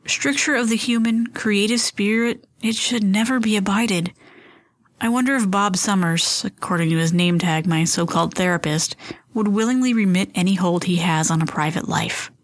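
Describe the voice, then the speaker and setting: A woman playing a wry, paranoid storyteller in a scripted audio fiction, with deliberate literary phrasing. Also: medium pitch, dry recording, natural voice. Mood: brooding, wary. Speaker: storyteller and host of a fiction podcast. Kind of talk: scripted dramatic first-person narration.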